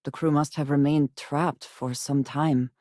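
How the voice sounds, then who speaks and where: An actress playing a guarded, solemn woman spacefarer in a video game, speaking in measured, formal phrases. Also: low-pitched, steady tone, darkish tone, no echo, clean studio sound. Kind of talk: video game character dialogue.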